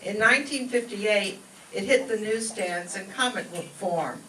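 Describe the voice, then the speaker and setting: A woman's voice: medium pitch, a little slow, pushed and bright. A woman giving a scripted introduction as master of ceremonies at a live show. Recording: echoey room, distant mic, faint noise.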